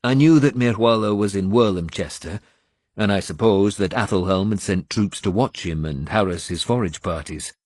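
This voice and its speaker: A man narrating first-person historical fiction as a scripted audiobook, with measured, dramatic storytelling. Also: lowish voice, darkish tone, no echo, clean studio sound.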